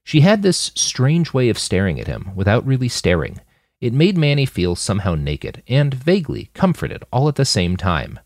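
Male fiction podcast narrator, highly animated delivery, dry intimate sound. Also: moderate pace, no room sound. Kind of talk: scripted audiobook narration with character voices.

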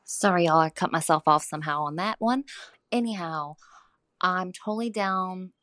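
A woman with a Floridian accent records a voice message for a podcast, her voice a bit low. The sound is close and dry. She talks frankly and chattily, dropping plenty of "y'all". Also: moderate pace, a bit bright, dry recording. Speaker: listener sending a voice message.

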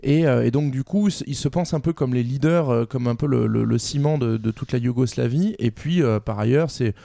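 A man's voice: medium pitch, a little fast, vocal fry, mellow, no room sound. A man co-hosting a casual history discussion, explaining in a didactic but colloquial way.

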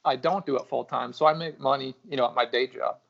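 A gravelly man in casual podcast chat, easygoing and understated as he tells how he got started. The audio sounds dull and lo-fi. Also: mid-range voice, steady tone, quick pace, a bit bright. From lived in Texas. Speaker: guest metal artist.

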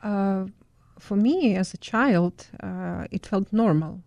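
Ukrainian-accented woman with a gravelly voice. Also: mid-range voice, takes it slowly, a little mellow, no echo, lo-fi sound. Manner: hesitant, many fillers. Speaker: guest. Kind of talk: interview answers.

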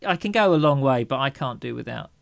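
A man's voice: mid-range voice, lively intonation, fast talker, vocal fry, dry recording, studio quality. A middle-aged man with an English accent chatting casually as a podcast co-host, with a dryly humorous, mock-grumbling manner. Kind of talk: casual chat.

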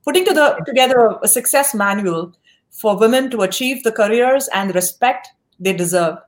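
A woman's voice: a little high, animated, normal speed. Woman podcast host, gushing with praise, interviewing a guest. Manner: effusive, praising.

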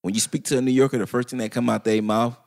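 A young man co-hosting a casual chat podcast, joking loudly and laughing often. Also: mid-range voice, fairly even intonation, fast, creaky. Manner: loud laughing, joking, profane.

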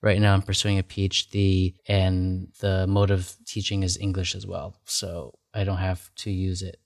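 Relaxed male podcast host, lower voice, easygoing and brief. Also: moderate pace, vocal fry. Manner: laid-back, brief, says yeah a lot. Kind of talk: casual interview.

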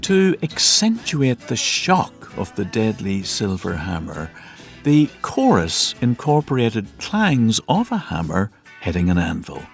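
A man's voice: fairly low pitch, wildly swooping pitch, measured pace, vocal fry, soft background music. A man narrating a scripted audio documentary. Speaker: podcast narrator.

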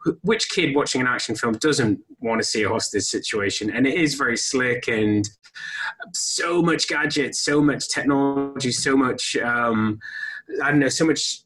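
Man, casual film chat, podcast co-host, animated, bursts into voices. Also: normal speed, poor audio. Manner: animated, acts out lines in high voices.

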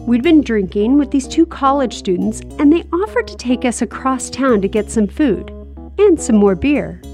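A woman's voice: on the high side, animated, moderate pace, darkish tone. A woman co-hosting a travel podcast, narrating in an upbeat, friendly way. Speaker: podcast co-host. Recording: narration over background music. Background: theme music.

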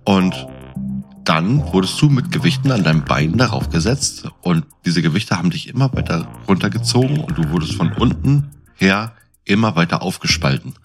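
A man in a casual conversation, explaining things in a colloquial, animated storytelling way as a podcast co-host. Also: deep voice, moderate pace. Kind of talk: casual chat, explaining and quizzing. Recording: music behind the voice. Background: music.

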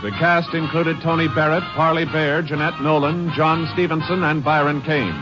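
Formal old radio show announcer guy, highish voice, muffled, big music behind. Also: fairly even intonation, moderate pace.

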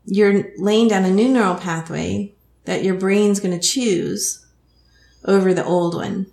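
A woman's voice: mid-range voice, steady tone, measured pace, a little mellow. Texan woman explaining hypnosis, roomy sound. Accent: Texas. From Texas. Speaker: hypnotist and podcast host. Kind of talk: explanatory interview. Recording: roomy echo.

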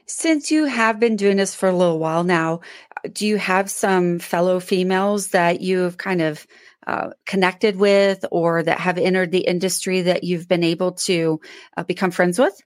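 A woman hosting an agriculture podcast, asking interview questions in a measured way with a few restarts. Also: fairly low pitch, dry recording, clean studio sound. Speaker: podcast host. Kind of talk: interview questions and sponsor read.

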